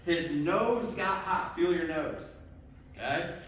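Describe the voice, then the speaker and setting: A man's voice: a little high, slow pace, slight background noise. A middle-aged man giving a children's sermon, asking the kids questions and checking in with "okay" as he goes. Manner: lively, asks the kids questions, says okay often. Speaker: pastor. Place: church sanctuary with congregation. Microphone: far camera. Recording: echoey church from far off.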